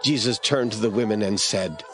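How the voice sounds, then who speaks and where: A man narrating scripture in a radio drama, reading in a steady, measured way. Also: on the low side, faint music behind.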